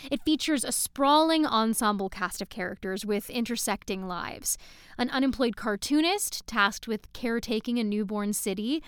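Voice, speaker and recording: A woman's voice: fairly high pitch, clear, resonant tone, no room sound, clean studio sound. A woman hosting a podcast, introducing another show in an enthusiastic, chatty way with small fillers. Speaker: podcast host. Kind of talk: casual promo introduction.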